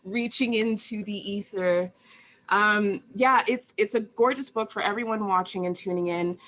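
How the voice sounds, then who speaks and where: A woman hosting a live-streamed interview, effusive and complimentary, often pausing with "um" as she frames her questions. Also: medium pitch, a bit bright, slight background noise, rough recording. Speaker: host and interviewer.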